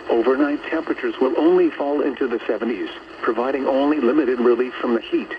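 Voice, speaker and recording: A muffled, static-laden radio broadcast carries a synthetic man's voice. He reads scripted weather bulletins as an automated announcer, formal and measured, with clear noise over the dull signal. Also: medium pitch. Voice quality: synthetic text-to-speech voice. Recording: noisy, muffled long-distance radio reception.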